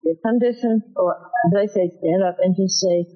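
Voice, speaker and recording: A woman teaching self-defense in an interview, emphatic with a booming voice, often acting out example lines. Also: normal speed, highs cut off, faint music behind. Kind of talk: instructive interview talk.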